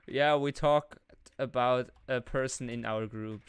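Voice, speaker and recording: Playful Swiss German man, clear-voiced. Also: medium pitch, steady tone, measured pace. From Switzerland. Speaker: live game streamer. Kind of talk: casual banter while gaming online.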